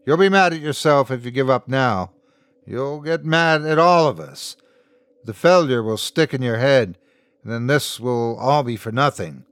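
A man's voice: medium pitch, lively intonation, unhurried, smooth, no echo. A man voicing an insistent male friend who calls out firm, reproachful encouragement in an audiobook story. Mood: firm, scolding.

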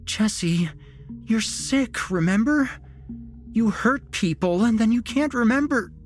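Guy doing a lady doctor in an audiobook, protective and urgent, pitched well up. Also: animated, slow, soft background music. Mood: urgent, pleading. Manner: insistent, pleading.

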